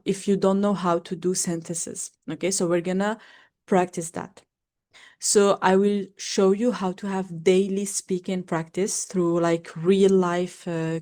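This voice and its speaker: A woman's voice: fairly low pitch, darkish tone. A French-woman, introducing an online class in a chatty way that is full of "like" and "okay?". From Algeria. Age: 20s. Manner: chatty, fills with "like" and checks in with "okay?". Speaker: French teacher.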